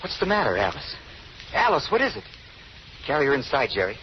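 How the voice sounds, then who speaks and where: An earnest, tender man in love, direct and insistent, mid-pitched. The 1930s radio recording is dull and faintly hissy. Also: wildly swooping pitch, brisk. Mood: concerned. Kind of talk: 1930s radio drama acting.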